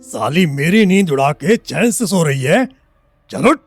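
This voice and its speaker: A male actor plays a menacing small-town tough with a creaky, gravelly voice. He talks coarsely and rustically, with a short temper. Faint music runs under this audio drama. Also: on the high side, sing-song, measured pace, full character voice. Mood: furious, threatening. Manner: coarse, rustic, swearing speech. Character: hot-tempered, bullying small-town man, lovesick and menacing. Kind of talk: Hindi audio drama acting.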